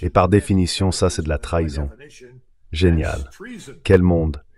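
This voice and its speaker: A man's voice: low voice, steady tone, unhurried, darkish tone. A man's synthetic dubbing voice giving political commentary as an interview guest.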